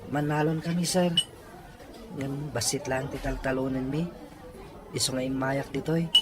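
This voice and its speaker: A man's voice: medium pitch, fairly even intonation, moderate pace, noisy background, pitched above the performer's own voice, close to an everyday voice. A man playing a meek, polite young working student in a serial drama. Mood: humble, earnest. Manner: deferential. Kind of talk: acted drama dialogue.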